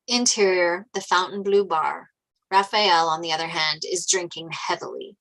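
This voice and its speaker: Low, bright woman narrator with creak. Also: normal speed, poor audio. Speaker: narrator reading stage directions. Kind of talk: scripted screenplay narration at a table read.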